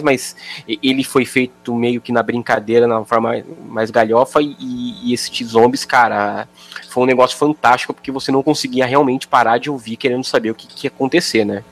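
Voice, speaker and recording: A man with a Brazilian accent in casual podcast chat, talking haltingly and circling back mid-sentence. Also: mid-range voice, steady tone. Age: over 28. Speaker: podcast panelist. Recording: faint music behind the voice. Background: faint music.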